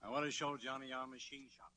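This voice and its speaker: A man playing a kindly college priest with purpose and authority, in an old radio play. His medium-pitched voice is bright and clearly projected. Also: level tone, fast talker, natural voice. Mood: kindly, assured. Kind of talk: 1940s radio acting.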